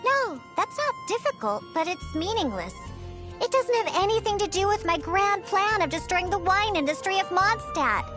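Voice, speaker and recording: A woman's voice: extremely high pitch, sing-song, normal speed, over music. A woman voicing a proud, grumpy young cat-girl bartender in a video game, huffy and stammering when flustered. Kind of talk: video game character voice lines.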